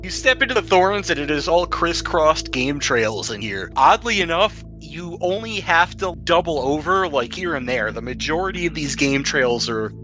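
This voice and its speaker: A man's voice: a little high, animated, moderate pace, few pauses, sharp tone, faint music behind. A man working as game master, narrating a tabletop game casually with irreverent, joking banter and casual swearing.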